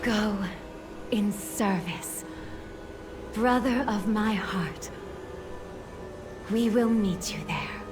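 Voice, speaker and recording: A devoted, gentle companion in a game cutscene. She is mid-pitched and soft, each word drawn out, with music behind her. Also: very slow, long pauses. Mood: tender. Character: tender, devoted female companion, gentle and solemn. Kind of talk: dramatic video game cutscene acting.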